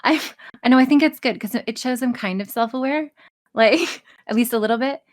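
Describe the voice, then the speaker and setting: A woman's voice: on the high side, normal speed. A woman voice actress chatting casually and candidly as an interview guest, frank, self-deprecating and free with swearing. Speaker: voice actress and audio creator being interviewed.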